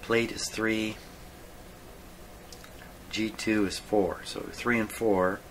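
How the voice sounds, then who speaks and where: A man with a deep voice talks slowly through a hands-on demonstration in a workshop. He mutters to himself and pauses often, thinking aloud. Steady noise sits clearly behind him. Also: low-quality recording. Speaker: host of a radio repair video. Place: radio repair workshop.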